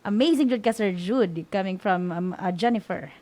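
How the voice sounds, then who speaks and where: A woman's voice: medium pitch, moderate pace, mellow, slight background noise. A woman with a Visayan Filipino accent casually co-hosting an online class and relaying comments, switching between languages. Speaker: co-host reading viewer comments.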